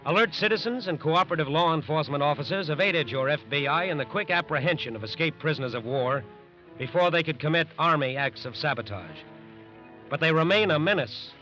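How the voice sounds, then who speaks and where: A man's voice: fairly high pitch, highs cut off. A man performing as a grave, warning narrator with an American accent, emphatic and solemn in scripted 1940s radio style. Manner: emphatic, solemn, deliberate phrasing. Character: grave, warning male narrator of wartime crime show. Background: loud dramatic music.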